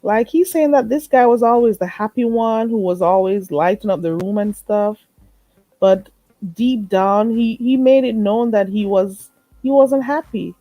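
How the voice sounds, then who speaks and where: A woman's voice: a little high, unhurried, no room sound, highs cut off. Chatty woman with a stuffy nose. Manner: chatty, emphatic, repeats herself. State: stuffy, a little nasal. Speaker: live stream host. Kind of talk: late night live chat with viewers.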